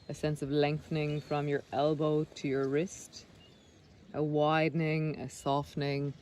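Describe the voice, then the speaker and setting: A man leading a guided body scan meditation, speaking gently and unhurriedly with pauses between phrases. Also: on the high side. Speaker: meditation guide, breath coach. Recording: clear background noise of sea sounds. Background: sea sounds.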